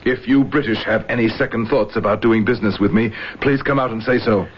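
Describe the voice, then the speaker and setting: A very low-pitched, resentful and scheming general played by a man in a vintage radio play. He speaks with proud, commanding self-assurance. The broadcast is dull and clearly noisy. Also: steady tone, fairly quick, close to an everyday voice. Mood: offended. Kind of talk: old-time radio drama acting.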